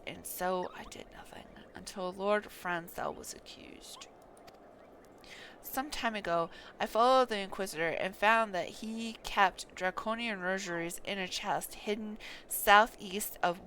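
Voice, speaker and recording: A woman's voice: medium pitch, a bit bright, a little hiss or hum. A woman voicing an anguished knight in a fantasy game, confessing a guilty secret earnestly and solemnly. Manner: earnest, solemn, halting over names. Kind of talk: dramatic reading of fantasy game dialogue.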